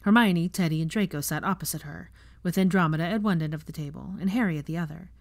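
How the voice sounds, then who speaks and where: A woman's voice: on the low side, quick pace, dry recording. A woman reading a story aloud as an audiobook narrator.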